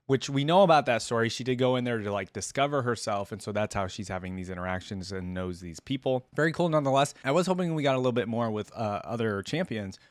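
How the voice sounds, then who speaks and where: A man's voice: medium pitch, lively intonation, quick pace, few pauses, no room sound. A man giving casual reaction commentary as the host of a video.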